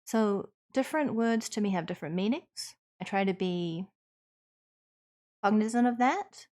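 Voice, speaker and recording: NZ woman streamer, casual chat, sincere and reassuring, thinks aloud, mid pitch. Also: expressive, measured pace, a little mellow. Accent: New Zealand. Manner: earnest, reassuring, thoughtful with frequent ums. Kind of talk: casual chat answering viewers.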